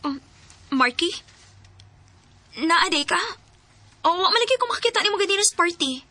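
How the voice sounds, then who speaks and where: A woman's voice: very high-pitched, animated, normal speed, pushed and bright, no echo, heavily acted. A woman playing a hesitant, forgiving young woman in love in an emotive radio drama, with a Cebuano accent. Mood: guarded, tired. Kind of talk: Philippine radio drama acting.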